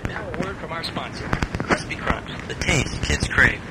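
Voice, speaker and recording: Someone putting on a deep-voiced cereal ad announcer. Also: very animated, normal speed, very noisy, natural voice. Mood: salesy. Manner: slick mock-advertising delivery. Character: smooth adult male commercial announcer.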